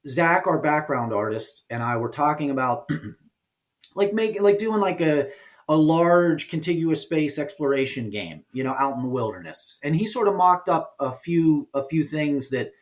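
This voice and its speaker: A man with a slightly high voice speaks as an interview guest. His talk is casual and explanatory, with informal, halting phrasing as he pieces his thoughts together. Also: animated. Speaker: guest, indie game developer and producer.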